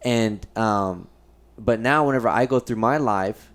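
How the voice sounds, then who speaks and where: A man's voice: fairly low pitch, a little slow. A man hosting a casual podcast, talking in a reflective, rambling way with many restarts. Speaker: podcast host.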